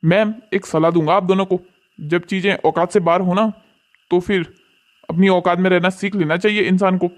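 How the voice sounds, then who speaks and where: A man's voice: sits high, steady tone, moderate pace, close to an everyday voice. A man plays a young parcel delivery worker in a cartoon family drama. He is blunt and scornful. His delivery has a lecturing edge, addressed to customers he looks down on.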